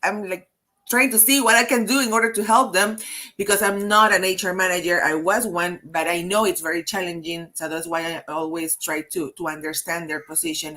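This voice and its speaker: A woman with a Peruvian Spanish accent, an HR consultant hosting a live webinar over a video call, speaking in halting phrases with many restarts. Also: fairly low pitch, bright, forceful tone. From Peru. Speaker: host, HR consultant.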